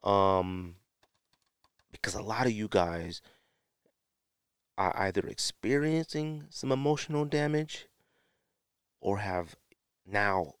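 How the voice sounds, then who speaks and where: A middle-aged American man with a fairly low voice talks casually as a solo podcast host. His delivery is slangy and emphatic, circling back on his points. The sound is close and dry. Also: slow pace, pauses often, clean tone, no echo. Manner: conversational, slangy, emphatic repetition.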